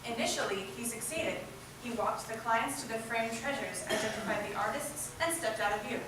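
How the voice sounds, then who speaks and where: A woman's voice: a little high, moderate pace. Lady reading scripted story parts, really echoey hall, lots of noise. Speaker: narrator in a readers' theatre group. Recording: echoey hall, distant mic, loud noise.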